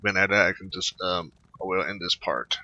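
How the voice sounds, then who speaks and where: A man's voice: deep voice, steady tone, brisk, pushed and bright, no echo. A man giving casual, offhand commentary as he plays through a video game. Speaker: gamer, let's-play host. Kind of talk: casual game playthrough commentary, reading some dialogue aloud. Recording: dull, band-limited close dry microphone.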